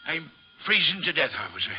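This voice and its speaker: An actor playing a weary, good-natured street charity Santa in an old radio drama, talking in a folksy way. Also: male voice, on the high side, big pitch swings, fairly quick, very noisy. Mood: weary, friendly. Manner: folksy, colloquial. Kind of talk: 1950s radio drama acting. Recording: muffled old radio, faint street ambience.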